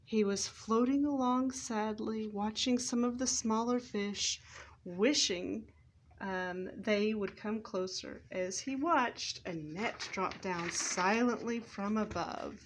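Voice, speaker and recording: A woman's voice: mid-range voice, slow pace, slight background noise. A woman narrating a children's story aloud from a script, expressively, with the odd stumble over the text. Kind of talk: scripted read-aloud narration for readers theater.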